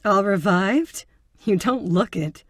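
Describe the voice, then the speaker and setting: Female amateur detective played by a woman: mid-range, sharp-minded, encouraging, wry, for audio drama. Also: mid-range voice, animated, slow, no echo, clean studio sound. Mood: teasing. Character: sharp, determined woman therapist turned amateur sleuth.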